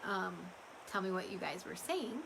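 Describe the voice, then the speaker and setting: A woman's voice: medium pitch, lively intonation, normal speed, creaky, background noise. A woman chats casually and ramblingly to customers on a livestream, her voice a little strained from talking. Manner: rambling, chatty. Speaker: small business owner hosting a live update.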